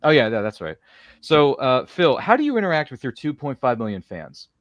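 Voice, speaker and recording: A middle-aged man hosts a casual live roundtable in a mid-range voice. He is animated and joking, full of mock exclamations, and the sound is dry with little room on it. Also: medium pitch, a little fast, no echo, muffled recording. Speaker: host of a writers' roundtable. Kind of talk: casual live panel chat with viewer comments.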